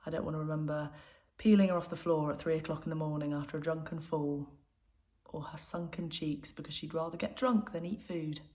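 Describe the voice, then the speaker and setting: Young woman acting, lowish voice, troubled granddaughter confiding in her mum, intimate drama monologue. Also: steady tone, fairly quick, warm tone, close to an everyday voice. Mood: sad, subdued. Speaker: actor performing a self-written monologue.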